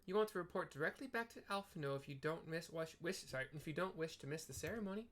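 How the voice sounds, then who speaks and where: A man's voice: fairly high pitch, animated, fast talker, fairly bright tone. A man casually narrating a game playthrough, describing what is on screen with offhand, muttered asides. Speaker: gaming video creator.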